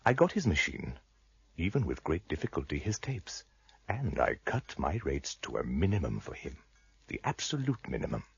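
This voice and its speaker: An actor playing a shrewd, courtly blind trader in a radio drama, husky and gravelly, speaking in a measured, formal, wry way. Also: male voice, extremely low pitch, sing-song, dry recording, dull audio, exaggerated voice. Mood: grave, knowing.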